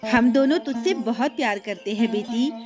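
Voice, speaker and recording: A woman's voice: fairly high pitch, normal speed, over music, pitched below the performer's own voice, lightly acted. A woman voicing a loving, anxious queen mother in a cartoon story, tender and pleading. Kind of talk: Hindi cartoon story acting.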